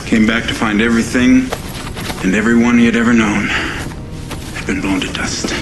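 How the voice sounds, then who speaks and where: An actor playing a world-weary veteran fighter pilot, grim and reflective, with a gravelly voice and a terse, blunt manner. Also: male voice, mid-range voice, little pitch movement, moderate pace, natural voice. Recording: music behind the voice.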